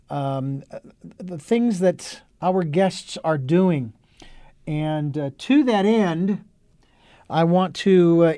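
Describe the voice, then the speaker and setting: Male talk-radio host, slightly high-pitched, earnest and rambling, close dry mic. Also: darkish tone, clean tone, no room sound. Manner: rambling, many ums and uhs, earnest. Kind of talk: casual talk radio chat.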